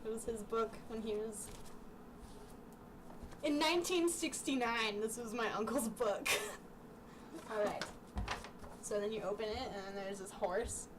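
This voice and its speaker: A woman's voice: fairly high pitch, measured pace, long pauses. Distant, noisy recording of a giggly young woman. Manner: giggly, breaking off into asides. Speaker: amateur vlogger reading childhood books aloud. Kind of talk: casual chatty read-aloud with asides. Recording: distant mic with clear background noise.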